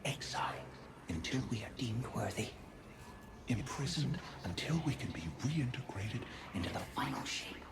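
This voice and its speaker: An actor voicing imprisoned alien dissenters of a hive mind, ominous and seductive, speaking solemnly and cryptically in a game. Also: male voice, mid-range voice, animated, natural voice. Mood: ominous, beckoning. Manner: slow, whispered, solemn and cryptic.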